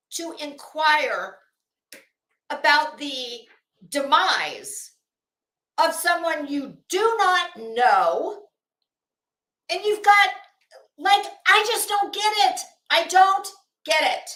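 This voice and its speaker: Casual livestream host: American woman, middle-aged, high pitch, unhurried delivery with heavily stressed words, blunt and sweary. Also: fairly bright tone. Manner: blunt, opinionated, profane. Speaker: YouTube commentary host. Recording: roomy, echoey room sound.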